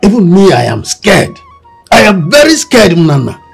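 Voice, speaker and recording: A man voicing a timid, worried older village man with a husky, gravelly voice. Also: sits high, very animated, moderate pace, faint music behind, voice pushed down, heavily acted. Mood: scared.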